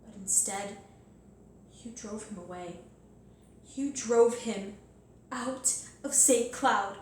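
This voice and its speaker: A woman playing a bitter, wounded young Southern daughter in a stage monologue, deliberate, pleading and accusatory, with heavy pauses. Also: medium pitch, a little mellow, close to an everyday voice. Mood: bitter, accusing. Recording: echoey room, faint noise.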